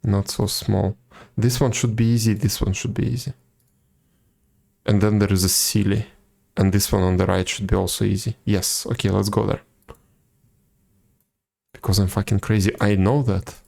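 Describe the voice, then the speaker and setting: Casting: male game streamer, low gravelly voice, casual wry self-commentary, faint game music behind. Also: flat delivery, normal speed, long pauses, a little mellow. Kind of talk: casual live gameplay chat.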